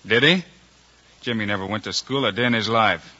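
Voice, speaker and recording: Shrewd frontier lawman, American accent, firm and calm, bright tone, dull radio western recording. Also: fairly low pitch, brisk. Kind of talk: 1950s radio western acting.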